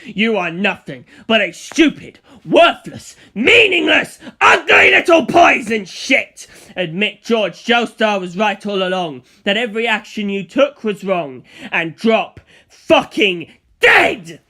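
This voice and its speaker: A narrator acts out a contemptuous fighter from an action story, raging at a foe. The voice leaps high and loud into shouts, full of scorn. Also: very animated, slow, heavily acted. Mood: furious. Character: furious, contemptuous shouting fighter cursing an enemy.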